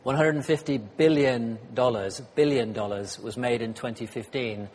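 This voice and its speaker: A slightly hoarse man gives a sermon as guest speaker, chatty and self-deprecating, with frequent ums and uhs. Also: medium pitch, moderate pace, darkish tone. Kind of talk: sermon with light humor. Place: church hall. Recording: church hall reverb.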